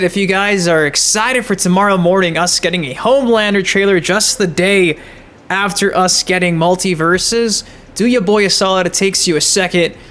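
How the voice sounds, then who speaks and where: High-pitched, excitable guy. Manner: excitable, slangy, emphatic. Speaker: gaming channel host. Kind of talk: casual hyped gaming news commentary.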